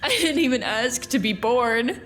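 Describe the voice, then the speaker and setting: An actress playing a bitter, despairing young woman in an audio drama, speaking in a plaintive, halting way. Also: on the high side, lively intonation, a bit bright, slight background noise. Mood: bitter, weary. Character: young woman, despairing, bitter, feels cheated by a dying world.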